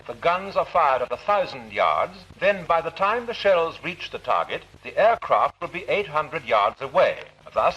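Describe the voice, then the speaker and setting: A man's voice: fairly high pitch, sharp tone, slightly rough, highs cut off. A British man reading scripted narration for an instructional film in a formal, didactic manner, on a vintage soundtrack.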